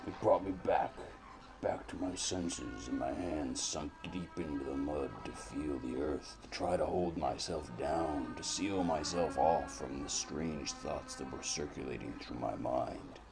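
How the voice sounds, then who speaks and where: A man's voice: a bass voice, measured pace, gravelly, over music, exaggerated voice, poor audio. A man playing a world-weary, brooding private detective in a noir audio drama, narrating in florid, rambling, repetitive phrases. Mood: brooding, groggy.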